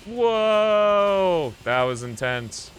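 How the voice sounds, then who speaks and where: A man's voice: high-pitched, big pitch swings, extremely slow, a little hiss or hum. A man hosting a livestream, giving casual, rambling gameplay commentary as he thinks aloud in an informal way. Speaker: livestream host. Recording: game music behind the voice. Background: video game music.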